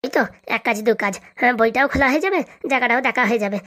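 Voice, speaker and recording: Woman doing a chatty young cartoon nephew, helpful go-getter, really high voice, talks super fast. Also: fairly bright tone, lightly acted. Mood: eager. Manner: chatty, colloquial. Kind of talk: comic cartoon dialogue.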